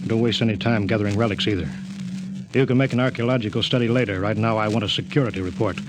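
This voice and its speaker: A man's voice: fairly low pitch, fast, natural voice. A man playing a stern, cautious spaceship captain in 1950s radio drama, clipped and commanding, on a noisy vintage broadcast. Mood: stern, firm.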